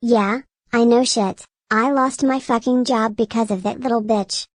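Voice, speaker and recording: A woman's voice: fairly high pitch, steady tone, a little slow, dry recording, clean studio sound. A woman voicing an indignant, scheming cartoon catgirl leader with a blunt, profane manner. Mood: bitter. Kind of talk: amateur animated drama dialogue.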